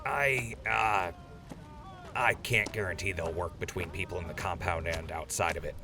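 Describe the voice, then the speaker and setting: An actor playing an easygoing male officer in an audio drama, talking in a relaxed, folksy way. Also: deep voice, normal speed.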